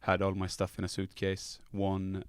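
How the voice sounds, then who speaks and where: Male podcast guest, musician from Sweden with Swedish as first language. Low pitch, casual and joking, close dry sound. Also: monotone, normal speed, clear, resonant tone, dry recording. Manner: joking, laughs often. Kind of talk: casual studio interview. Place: podcast studio.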